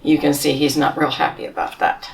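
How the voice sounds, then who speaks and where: A woman reading a picture book aloud to children, gently explaining the pictures and asking them questions. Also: low-pitched, flat delivery, a little fast, gravelly, far from the mic, rough recording.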